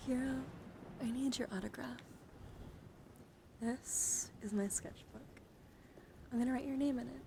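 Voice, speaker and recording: A woman hosting an ASMR livestream, speaking softly and breathily into a close mic, often slipping into whispers, in a playful, cutesy, scattered way. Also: fairly high pitch, gravelly, noisy background. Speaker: livestream host. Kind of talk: ASMR livestream, whispering and soft talk to chat.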